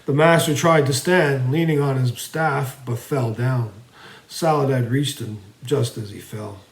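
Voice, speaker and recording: A man narrating a fantasy story theatrically, swinging between emphatic and hushed delivery. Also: mid-range voice, unhurried, vocal fry. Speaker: storyteller reading own fantasy series. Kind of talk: dramatic fantasy audiobook narration. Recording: roomy, echoing voice recording.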